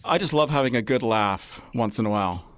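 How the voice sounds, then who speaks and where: A man speaks as a radio interview host. His voice sits at a medium pitch and his style is conversational. The recording is dry, with little room sound. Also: lively intonation, brisk, fairly bright tone, no room sound, soft background music.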